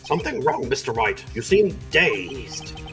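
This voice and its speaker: A man plays an elderly judge in a video game fan dub, grave and formal. His delivery swings widely in pitch, with theatrical pomp. Soft music plays behind him. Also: big pitch swings, a little slow. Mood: measured. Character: grave elderly male courtroom judge, easily flustered and pompous.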